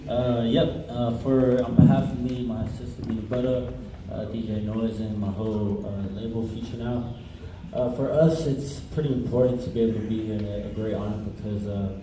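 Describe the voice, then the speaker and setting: A man, a singer, answering at a press conference in a casual, steady way. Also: mid-range voice, moderate pace, few pauses, background noise. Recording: very echoey room, distant mic.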